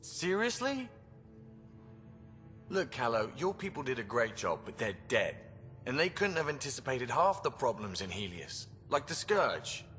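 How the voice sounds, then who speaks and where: A man's voice: medium pitch, sing-song, a little slow, a bit bright, long reverb tail, soft background music. A man playing a blunt, exasperated ship engineer in a video game, arguing in a plain-spoken way. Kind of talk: video game cutscene acting.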